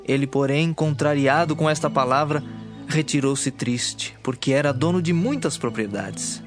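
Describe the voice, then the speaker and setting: A man's voice: a little high, moderate pace. A man with a Brazilian accent narrating scripted, dramatized scripture. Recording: clear music bed behind the voice.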